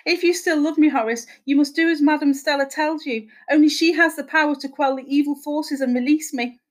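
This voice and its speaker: A woman's voice: high-pitched, steady tone, fairly quick, voice pushed up, natural voice. A woman voicing the tearful ghost of a dead wife, wailing and imploring as in a spooky story. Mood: afraid, pleading.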